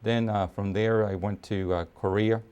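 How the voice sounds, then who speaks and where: A man in his fifties from Puerto Rico, raised in New York, speaking as an army officer in a documentary interview, measured with frequent pauses and fillers. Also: fairly low pitch, flat delivery, darkish tone, smooth. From Puerto Rico, raised in New York City. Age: 50s.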